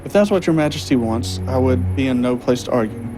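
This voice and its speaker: A man's voice: mid-range voice, a little fast, over music, close to an everyday voice, low-quality recording. An actor playing an earnest, flustered young man in an audio drama, speaking politely and hesitantly. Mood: nervous, deferential.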